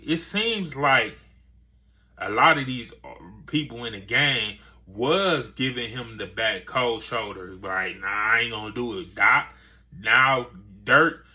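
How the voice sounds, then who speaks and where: Sharp-voiced rambling male commentator. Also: medium pitch. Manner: rambling, heavy filler phrases. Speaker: commentary channel host. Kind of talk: casual commentary.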